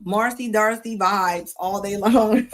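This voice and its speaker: A woman's voice: mid-range voice, slow pace, soft background music. A woman hosting a live show, reacting to clips in a sassy, scolding tone with casual slang, often repeating herself. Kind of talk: live commentary on videos.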